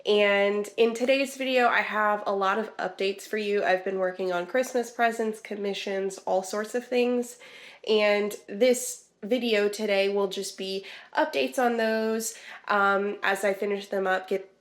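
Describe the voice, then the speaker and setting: A woman, chatty and relaxed, giving crochet project updates in a vlog; she sounds congested and a little nasal from a sinus infection. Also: mid-range voice, measured pace. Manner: chatty, relaxed, many ums and restarts. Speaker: crochet vlogger. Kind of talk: casual vlog chat.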